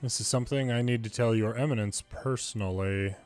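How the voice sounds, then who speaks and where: A man narrates a game playthrough, reading the characters' lines aloud. His voice sits at a medium male pitch, with faint game music behind it. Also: fairly quick, darkish tone.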